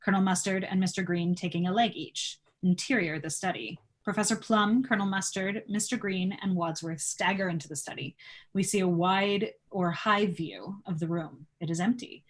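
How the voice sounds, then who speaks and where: A woman's voice: fairly low pitch, normal speed. A woman reading stage directions as narrator in a live table read of a script.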